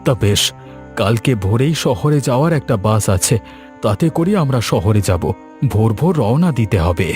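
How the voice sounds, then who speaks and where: A man's voice: mid-range voice, animated, moderate pace, a little mellow, faint music behind, natural voice. Man playing a poor, earnest cartoon father. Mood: resigned, soothing. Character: poor, gentle, pleading fisherman father. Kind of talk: cartoon story voice acting.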